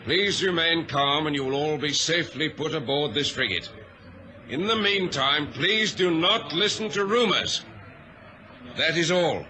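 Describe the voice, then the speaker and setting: An actor in a vintage radio drama playing an authoritative ship's captain who gives clipped, formal orders. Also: male voice, fairly high pitch, expressive, a little slow, pushed and bright. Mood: commanding, reassuring. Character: commanding male captain of a troubled passenger ship, privately uneasy. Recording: old radio drama recording, faint noise.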